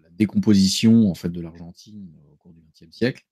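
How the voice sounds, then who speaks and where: Deep-voiced man explaining politics. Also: steady tone, warm tone, husky. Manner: measured, explanatory, ironic asides. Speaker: guest commentator. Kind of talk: casual political discussion.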